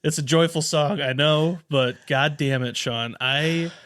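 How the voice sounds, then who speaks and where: A man co-hosting a casual sports podcast, swearing his way through a frustrated rant. His voice sits a little high for a man. The sound is dry and close, with no room echo. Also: steady tone, measured pace, fairly bright tone. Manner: profane, venting rant. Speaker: podcast co-host.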